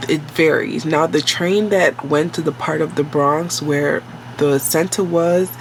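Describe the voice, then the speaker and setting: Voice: medium pitch, steady tone, a little hiss or hum, poor audio. A vlogger, telling a work story in a casual, rambling way, sounding nasal from a cold. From New York City. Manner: slow, rambling and conversational, with many fillers and self-corrections.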